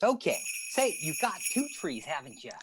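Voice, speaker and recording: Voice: mid-range voice, very animated, moderate pace, background noise, close to an everyday voice. An actor playing an eager teenage schoolboy with flowery, pompous phrasing in a radio comedy. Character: Walter Denton, florid, overeager teenage schoolboy.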